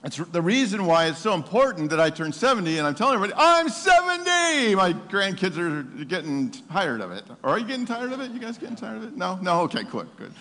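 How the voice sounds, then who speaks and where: At the pulpit of a big echoing hall, a man of seventy with a creaky, gravelly voice tells his own story to the congregation, driving phrases home. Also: sits high, very animated, quick pace, hardly any pauses, a bit bright. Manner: emphatic, repeats phrases for effect. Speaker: pastor preaching to his congregation. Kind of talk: sermon with personal testimony. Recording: long hall reverb.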